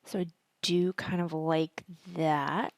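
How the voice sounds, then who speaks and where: Hoarse, low-voiced woman talking stocks. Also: steady tone, slow pace, dry recording. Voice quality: husky, worn voice. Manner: chatty, thinking aloud. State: partly hoarse from singing at a concert. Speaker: stock trading educator, video host. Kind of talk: casual screen-share market commentary.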